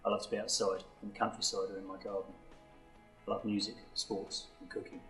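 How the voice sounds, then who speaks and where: Brief: man, 30s, monotone, tense bright tone, roomy recording. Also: on the low side, brisk, faint music behind. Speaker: employee sharing his story. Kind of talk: scripted testimonial.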